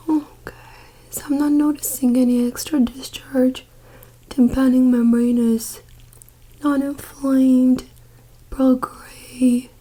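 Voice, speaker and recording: A woman doing a soft-spoken ASMR doctor roleplay in a breathy whisper, gently giving reassuring instructions close to the mic. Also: high-pitched, rough recording. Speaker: ASMR performer playing a doctor.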